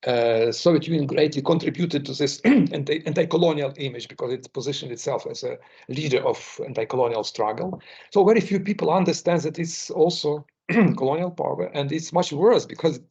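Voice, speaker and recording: A man's voice: fairly high pitch, brisk, creaky, a little mellow, breathy edge. An older man with a Ukrainian accent, giving a seminar talk with many fillers and repeated words. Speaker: guest lecturer. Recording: muffled lo-fi recording.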